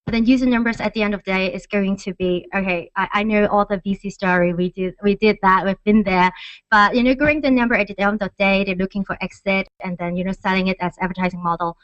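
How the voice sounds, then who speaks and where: A woman's voice: medium pitch, steady tone, fast talker, fairly bright tone, no room sound. A woman speaking as a startup founder, presenting and explaining at a conference. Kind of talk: conference pitch and question answering. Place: conference stage. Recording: dull, lo-fi event recording.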